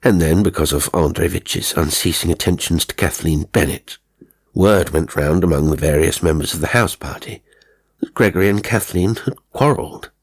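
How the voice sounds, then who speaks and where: A man's voice: low-pitched, normal speed, breathy edge. A man narrating a dramatic horror story as an audiobook.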